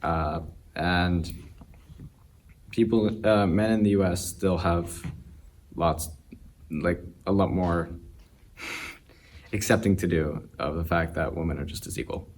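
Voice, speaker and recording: A teenage boy with a very deep voice states his opinion plainly and directly. A faint ambient hum sits behind him. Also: steady tone, takes it slowly, pauses often. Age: teens. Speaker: student.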